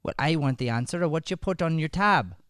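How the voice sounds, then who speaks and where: A man's voice: a little high, lively intonation, brisk, a bit bright, smooth, dry recording, studio quality. A man playing a stern, easily flustered schoolteacher who interrogates and repeats himself in an improvised radio comedy. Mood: flustered, indignant. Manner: interrogating, repetitive, flustered when challenged. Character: stern, exasperated male schoolteacher scolding a child in detention. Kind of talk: improvised old-time radio comedy acting.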